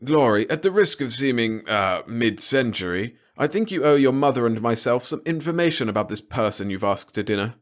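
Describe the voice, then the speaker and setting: A man's voice: mid-range voice, expressive, brisk, hardly any pauses, lightly acted. A man voicing a grumpy, pompous, disapproving middle-aged father in a storybook reading. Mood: stern. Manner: blustering, pompous.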